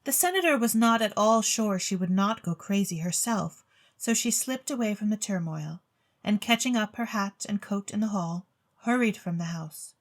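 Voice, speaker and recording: A woman reading a story as a theatrical narrator, with expressive, precise literary delivery. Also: mid-range voice, normal speed. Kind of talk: theatrical scripted storybook narration for an audio drama. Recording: music bed under the voice. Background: music.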